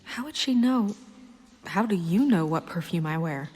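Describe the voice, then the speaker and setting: A woman playing a composed but uneasy young official in a video game, speaking with restraint and precision in a soft, husky voice. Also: lowish voice, expressive, normal speed. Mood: guarded, bristling. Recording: long hall reverb.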